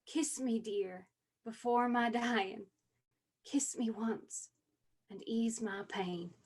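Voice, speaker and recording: An actress playing a bookish, wistful mountain daughter in a stage monologue, storytelling lyrically with an Appalachian accent. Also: female voice, a little high, fairly even intonation, takes it slowly, darkish tone, slightly rough, full character voice. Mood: tender, reciting. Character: bookish, wistful mountain daughter reminiscing about her father.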